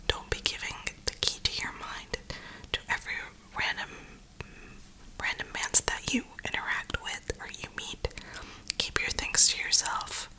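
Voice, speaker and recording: Whispering woman, bright breathy ASMR ramble. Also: rough recording. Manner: slangy, reflective, unhurried pauses. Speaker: ASMR gaming video host.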